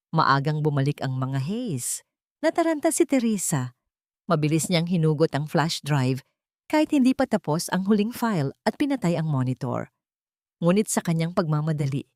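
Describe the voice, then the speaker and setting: Woman, lower-pitched voice, dramatic story narration, scripted delivery, very dry close recording. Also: a little mellow, no room sound.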